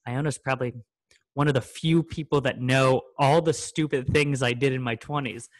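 A man's voice: medium pitch, fairly even intonation, moderate pace, no echo. Joking male podcast host, dry sound. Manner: joking, loose, with many fillers. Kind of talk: casual podcast chat.

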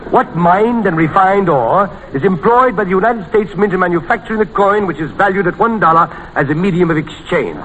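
On a noisy vintage radio recording, a pompous male quizmaster in a 1940s comedy. He is slightly high-voiced, wordy and stiffly courteous, with fussy little hesitations. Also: expressive, a little fast, few pauses, fairly bright tone. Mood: verbose, formal.

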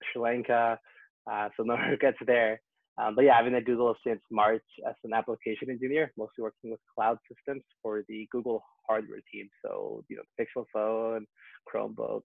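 A man's voice: mid-range voice, creaky, no room sound. A young man from Peru who grew up in Canada, talking casually and upbeat in an interview, with frequent ums. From Peru, raised in Canada. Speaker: guest, software engineer. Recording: tinny phone-like line, dry.

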